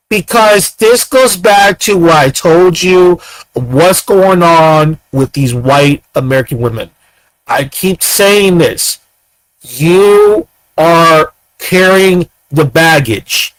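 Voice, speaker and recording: A man's voice: fairly high pitch, big pitch swings, takes it slowly, a bit bright, no echo, low-quality recording. A man in his thirties giving ranting commentary, firing off repeated rhetorical questions. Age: 30s. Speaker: commentator reacting to clips.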